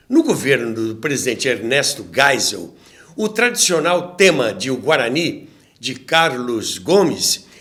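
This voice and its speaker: A Brazilian man hosts a history documentary in a higher-than-average voice. His delivery is slow and very lively in pitch, addressing the listener directly like a storyteller. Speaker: host and narrator of a radio history show.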